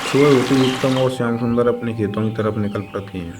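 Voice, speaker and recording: A man's voice: mid-range voice, fairly even intonation, quick pace. Male storyteller with music behind. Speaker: narrator. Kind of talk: scripted children's moral story narration.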